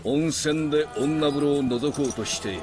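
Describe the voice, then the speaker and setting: An actor playing a boastful, lecherous older male hermit ninja, telling a story in a gruff voice over music in an anime clip. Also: fairly high pitch, brisk, natural voice.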